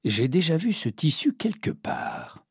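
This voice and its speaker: A man's voice: fairly high pitch, very animated, unhurried, husky, no room sound, studio quality, voice pushed up, full character voice. A man voicing a clever, polite male detective who asks courteous, probing questions. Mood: inquisitive. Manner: courteous and inquisitive.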